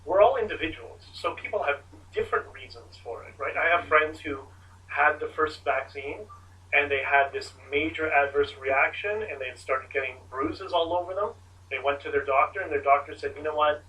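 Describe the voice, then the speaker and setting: Man at a press conference: bright, emphatic, caught off-mic. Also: fairly high pitch, normal speed, recorded from a distance, rough recording. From Canada. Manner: emphatic, animated storytelling. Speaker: convoy organizer answering press questions.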